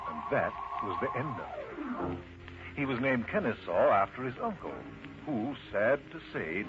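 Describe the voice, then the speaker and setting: A man narrating a scripted children's story as a storyteller, with theatrical, emphatic phrasing. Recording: dull, noisy low-fidelity recording.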